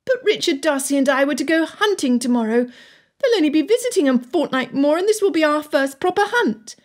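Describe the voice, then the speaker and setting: A woman's voice: high-pitched, expressive, a little fast, pitched above the performer's own voice. A woman voicing a stubborn, indignant young girl from a wealthy household in an audiobook, speaking formally and emphatically. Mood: pleading protest. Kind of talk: audiobook character dialogue.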